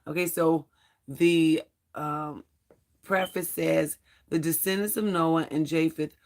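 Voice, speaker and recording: Hoarse gravelly bible study host, reads scripture real slow, earnest, trips on the hard names. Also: mid-range voice, steady tone. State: hoarse, losing voice. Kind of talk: reading scripture aloud with spiritual commentary.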